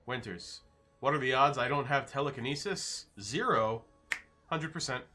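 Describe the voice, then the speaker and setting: A man's voice: medium pitch, normal speed, over music. A man streaming live, casually reading and answering his chat with loose, joking replies.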